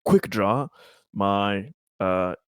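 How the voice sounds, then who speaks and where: A man playing a tabletop roleplaying game, narrating his character's moves in a playful, halting, slightly theatrical way. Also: lowish voice, fairly bright tone, clear, resonant tone, dry recording, clean studio sound. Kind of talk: casual in-game action narration.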